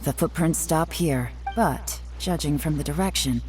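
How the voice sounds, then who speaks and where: A low, mellow-voiced female investigator from a video game. She is calm and calculating, speaking with deliberate, knowing assurance over faint music. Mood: confident. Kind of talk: video game character acting.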